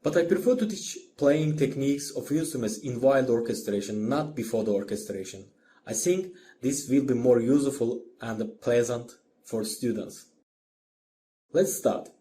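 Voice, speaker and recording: Mellow Azerbaijani-accented man lecturing. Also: medium pitch, normal speed, slight background noise. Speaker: orchestration teacher. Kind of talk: scripted lecture narration.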